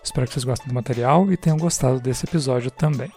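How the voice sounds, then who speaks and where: A man's voice: mid-range voice, very fast, mellow, faint music behind. A man with a Brazilian accent giving a prepared, explanatory monologue as a podcast guest. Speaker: podcast guest, game creator.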